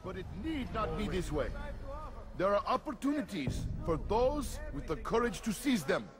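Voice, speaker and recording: An actor playing a fervent street preacher in a video game cutscene, speaking in a declamatory, urgent way. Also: male voice, high voice, very animated, normal speed, long reverb tail, music in the background. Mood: rousing.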